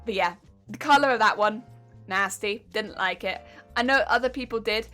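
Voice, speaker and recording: A woman's voice: medium pitch, normal speed, sharp tone, soft background music. A young woman with an English accent, chatting casually on a live stream as she answers chat questions and critiques her own sewing. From England.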